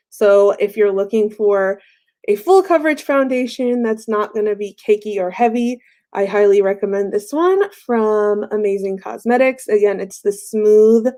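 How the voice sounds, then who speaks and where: A woman's voice: mid-range voice, mellow. A young woman hosting a casual makeup tutorial livestream, her voice a little off while she recovers from being sick, chatty with frequent fillers. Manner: chatty, friendly, frequent "um" fillers and light laughs.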